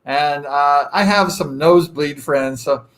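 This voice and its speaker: A man's voice: on the high side, measured pace, a bit bright. A man around sixty from Southern California, hosting a casual live chat with viewers in a rambling, joking way with lots of fillers. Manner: rambling and chatty, self-deprecating joking, frequent fillers. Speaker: live-stream host.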